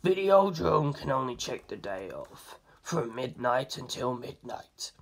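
Audiobook role: grave male investigator played by the narrator, lowered pitch, unhurried, flat and deliberate. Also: vocal fry, slight background noise. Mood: grave, matter-of-fact. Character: grave, measured adult male investigator, terse and matter-of-fact.

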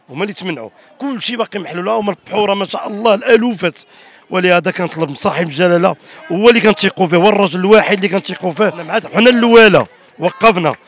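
A Moroccan man delivers a street appeal at a racing pace. His voice is high for a man, and he speaks into a handheld mic outdoors. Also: a bit bright. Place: outdoors by a building gate. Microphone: handheld reporter microphone.

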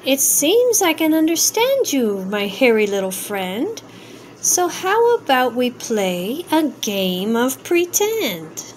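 Woman playing bold high-voiced little superhero girl. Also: sing-song, slow, a little hiss or hum, exaggerated voice. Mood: bold, friendly.

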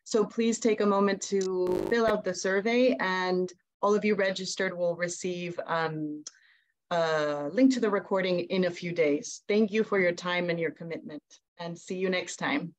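A woman's voice: lowish voice, measured pace. A young woman giving a host's closing remarks on a video call. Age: young adult. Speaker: host.

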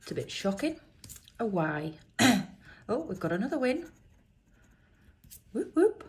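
A woman, croaky from a chest infection, chatting casually while she reads out letters and symbols on scratch cards at a table indoors. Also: medium pitch, very animated, a little slow. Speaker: scratch card vlogger. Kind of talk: casual commentary.

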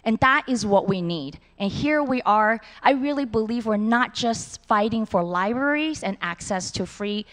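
A woman's voice: fairly high pitch, expressive, moderate pace, few pauses, a bit bright. A middle-aged woman, a city supervisor giving an earnest acceptance speech on a large auditorium stage into a handheld mic. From Hong Kong and Taiwan. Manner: earnest, simple phrasing. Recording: long hall reverb.

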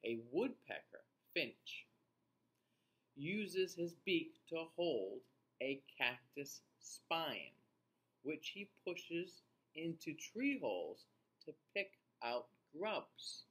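A man in his forties reading a picture book aloud to young children in an expressive, teacher-like way, with playful asides. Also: a little high, drawn-out words. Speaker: children's read-aloud host. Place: small indoor room.

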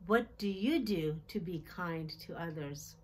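Slow-paced woman reading to young children. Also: on the low side, breathy edge. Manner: deliberate, simple child-directed phrasing with questions. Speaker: children's storytime host and reader. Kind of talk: picture-book read-aloud for young children. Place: small decorated indoor room.

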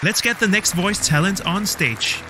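A man's voice: high voice, normal speed, crowd noise, noisy background. A man hosting a mock talent show in an upbeat, showman-like way. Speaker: host.

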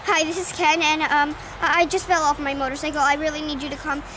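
A woman's voice: sits high, flat delivery, fast talker, noisy background, natural voice. A woman voicing a cheerful young male doll. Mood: hurting. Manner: upbeat, whiny when hurt.

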